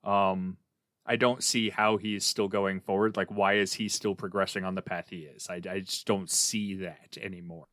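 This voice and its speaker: Male podcast panelist, lower register, casual opinionated review talk, very little room sound. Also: fairly even intonation, moderate pace, a bit bright, no room sound, clean studio sound.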